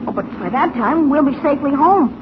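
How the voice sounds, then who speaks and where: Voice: high voice, lively intonation, a little fast. A performer playing an eager, naive teenage boy cub reporter in 1940s radio style, boyish and hesitant with gee-whiz delivery. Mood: puzzled. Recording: tinny, very noisy old radio recording.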